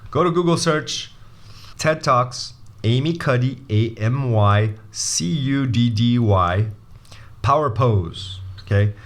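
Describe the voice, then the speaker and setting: Animated man coaching interview skills. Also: medium pitch, slow. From Brazil. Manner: animated, restarts sentences, jokey asides. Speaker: MBA admissions coach. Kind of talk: casual video lesson.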